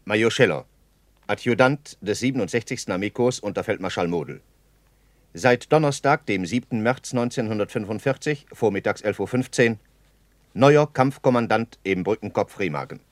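An actor playing a German army major in a 1960s radio play, announcing himself in a measured, official military manner. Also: male voice, on the low side, expressive, dry recording, lightly acted. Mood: formal. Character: formal German army major introducing his command.

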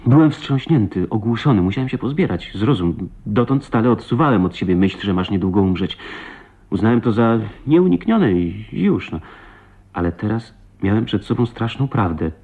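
An actor playing an ardent, proud man in love with his wife, in impassioned, halting 1980s Polish radio drama style. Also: on the low side, lively intonation, mellow, husky. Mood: fervent confession. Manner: impassioned, emotional, halting delivery. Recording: dull lo-fi radio play recording.

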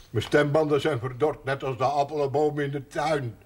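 An actor playing a fussy, jolly older man with a husky, weathered voice who cares for someone in a television drama. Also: on the high side, steady tone, slight background noise, close to an everyday voice. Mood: jovial, fussing. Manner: slow, theatrical, self-mocking, breaks into song. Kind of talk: television period drama acting.

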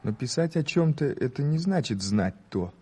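Actor as a theatrical, mellow-voiced gentleman journalist. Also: medium pitch, animated, normal speed, close to an everyday voice. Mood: musing, wry. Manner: theatrical, declamatory, emphatic storytelling. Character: Mr March, curious, ironic gentleman journalist, eager raconteur. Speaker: actor in a radio play. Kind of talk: 1980s Soviet radio drama acting. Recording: hissy old radio drama tape.

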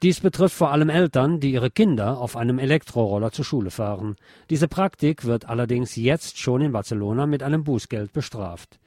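A man's voice: mid-range voice, brisk, darkish tone, dry recording, clean studio sound. A man reading scripted radio news and commentary, adding opinionated asides of his own.

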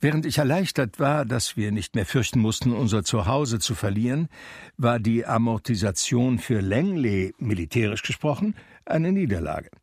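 An actor playing an ageing, melancholy recluse who recalls his life in measured literary radio drama narration with dry irony. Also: medium pitch, husky, no echo.

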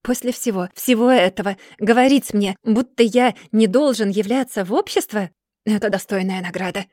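A woman voicing a distracted, gloomy rich gentleman who mumbles and then rants in a storybook reading. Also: mid-range voice, normal speed, dry recording, studio quality, lightly acted. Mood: furious ranting. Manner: absent-minded, mumbling, then bursting into furious ranting.

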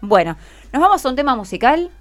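A woman's voice: high-pitched, sing-song, quick pace, soft background music. A woman with an Argentine accent hosting a casual radio interview, encouraging her guests with short questions. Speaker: radio host.

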